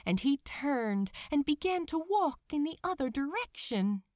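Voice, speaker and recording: Slow, high-pitched, expressive woman narrator. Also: dry recording, studio quality. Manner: dramatic, deliberate phrasing. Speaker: fan fiction narrator. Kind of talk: expressive scripted audiobook narration.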